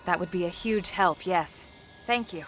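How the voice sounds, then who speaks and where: A woman's voice: lowish voice, measured pace, very noisy, natural voice. A woman playing a dryly wry, decisive squad leader in a video game, speaking with controlled composure. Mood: composed, decisive. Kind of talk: video game cutscene acting.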